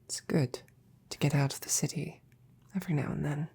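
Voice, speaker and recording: A woman playing a devoted, courteous female knight in an intimate romantic audio roleplay, speaking softly and breathily with gentle, halting, formal phrasing. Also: deep voice, fairly even intonation, whispered, heavily acted. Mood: tender, content. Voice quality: breathy, soft. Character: devoted female royal knight, tender, courteous, easily flustered.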